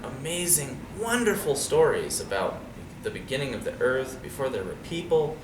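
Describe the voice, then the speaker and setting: A man reading a storybook aloud to young listeners in an expressive, dramatic way. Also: mid-range voice, moderate pace. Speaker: storybook reader. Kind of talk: animated read-aloud story with asides to children. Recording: roomy, distant mic, noisy background.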